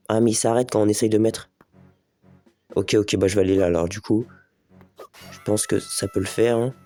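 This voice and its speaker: A man with a slightly stuffy voice from a cold talks his way through a video game, speaking straight to his viewers and thinking aloud. His voice sits low and warm. Faint music plays behind him. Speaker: gaming video host. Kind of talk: casual let's play commentary.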